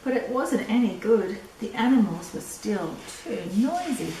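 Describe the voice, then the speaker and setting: An older woman reading a picture book aloud to children, deliberate and drawn-out, with little asides. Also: medium pitch, sing-song, mellow. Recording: echoey room, distant mic, faint noise.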